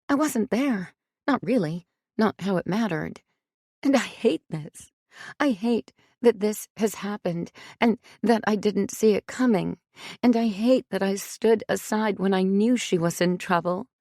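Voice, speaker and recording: A woman reading voices a distraught, tearful middle-aged mother whose words come out high and strained. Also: mellow, husky, no echo, clean studio sound.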